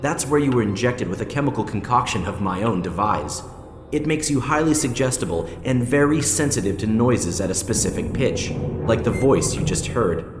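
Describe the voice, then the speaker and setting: An actor playing a coldly controlling male interrogator and torturer in an audio drama, speaking in a deliberate, condescending, threatening way. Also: medium pitch, lively intonation, natural voice. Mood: cold menace. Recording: echoey reverberant hall with music.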